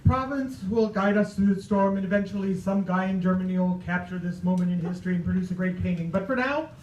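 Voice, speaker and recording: A man acting as a brave Revolutionary War general pitched high, declaiming heroically as in a radio play parody. He is grandly earnest, heard from far off in a roomy, noisy space. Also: quick pace, darkish tone, natural voice. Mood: resolute. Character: General George Washington, brave solemn commander in a storm. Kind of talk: old-time radio drama parody on stage. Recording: distant, echoey live stage with noise.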